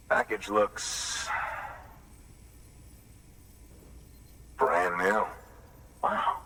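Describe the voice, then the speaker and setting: An actor playing a gruff, distrustful older man in a video game, with a folksy, grumbling manner of speech. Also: a bass voice, sharp tone, exaggerated voice. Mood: wary approval. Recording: roomy echo, distant, faint noise.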